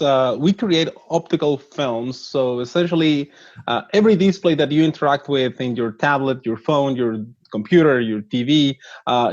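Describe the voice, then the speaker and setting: A man's voice: mid-range voice, darkish tone, dry recording. An earnest startup chief from northern Mexico, thirties, who restarts his sentences often. The sound is dull and lo-fi, with no room around it. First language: Spanish. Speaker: startup CEO, guest. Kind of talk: interview answers. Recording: dull dry lo-fi audio.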